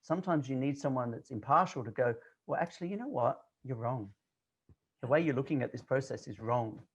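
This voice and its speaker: A man's voice: mid-range voice, brisk, husky. An Australian man in his forties, a confidence coach who talks bluntly and directly in a casual interview, with frequent fillers. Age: 40s.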